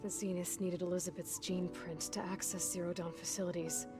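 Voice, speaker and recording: A woman's voice: on the low side, level tone, fairly quick, lightly acted. A woman playing a determined, guarded young heroine in a video game, with a direct, probing manner. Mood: indignant, probing. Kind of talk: video game dramatic acting. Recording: music behind the voice.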